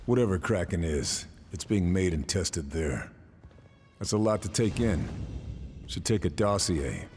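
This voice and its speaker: A steely Allied sniper in a war game, very low in pitch. He speaks tersely and keeps himself in check, with prominent music underneath. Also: lively intonation, measured pace, darkish tone. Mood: grimly focused. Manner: terse, restrained. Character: gruff, steely, unflappable male Allied sniper and soldier. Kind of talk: scripted game narration and dialogue.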